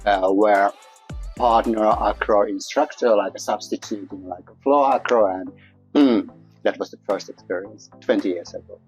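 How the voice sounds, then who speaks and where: A middle-aged man from Japan giving a casual interview in a halting, jokey way. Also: fairly low pitch, highs cut off, soft background music. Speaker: interviewee, acroyoga practitioner.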